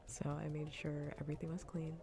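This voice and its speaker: A woman streamer chatting casually in a soft, breathy, often near-whispered ASMR style, rambling and repeating phrases. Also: sits low, completely flat delivery, a little fast. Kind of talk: soft-spoken ASMR gaming stream chat.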